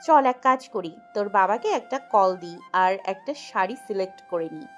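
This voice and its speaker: A woman's voice: mid-range voice, expressive, moderate pace, soft background music, natural voice. A woman with a Bangladeshi accent playing an affectionate, playful young wife in an audio love story, cooing and teasing.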